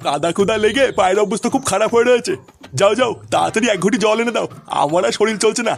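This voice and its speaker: Man doing a high-pitched grumpy old village father. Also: fast, vocal fry, soft background music. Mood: weary complaining. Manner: scolding, complaining, earthy. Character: grumpy, foul-mouthed, tired old village father and grandfather. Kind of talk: comedy cartoon voice acting.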